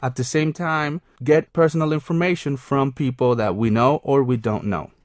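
A man's voice: medium pitch, normal speed, dry recording, studio quality. A man introducing an English lesson in an explaining manner. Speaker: English teacher.

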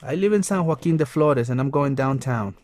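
A man playing a polite twenty-two-year-old engineering student who spells words out clearly. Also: medium pitch, expressive, fairly quick, a little mellow, no room sound, studio quality, natural voice.

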